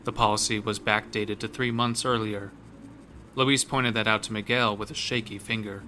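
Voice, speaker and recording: A man reads a scripted horror tale in a fairly low voice with a crisp, forceful edge. His delivery is dramatic and measured. A faint layer of noise sits behind the narration. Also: little pitch movement.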